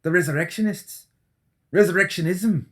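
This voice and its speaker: A man's voice: high-pitched, normal speed, fairly bright tone, voice pushed up. A man voicing a jumpy, wild-eyed doctor and necromancer in an audiobook, with an anxious, agitated manner. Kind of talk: audiobook character acting.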